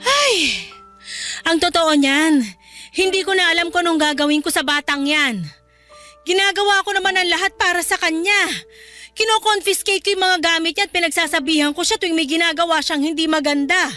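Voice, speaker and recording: An exasperated, high-voiced Filipino mother. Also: fairly quick, pushed and bright, faint music behind. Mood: weary, stressed. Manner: emotive, pleading and scolding. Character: exasperated, worried middle-aged mother of a college-age son. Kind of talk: Filipino radio drama acting.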